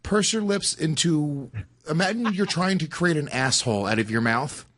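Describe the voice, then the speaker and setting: A man's voice: a little high, lively intonation, fairly bright tone. A man co-hosting a podcast in casual chat, making crude jokes. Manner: crude joking, fast talker. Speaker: podcast co-host.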